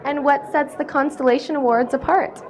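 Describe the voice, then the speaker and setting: A woman's voice: sits high, steady tone, brisk. A young woman, an entertainment host, asking upbeat, scripted questions on a red carpet. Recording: crowd chatter behind. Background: crowd.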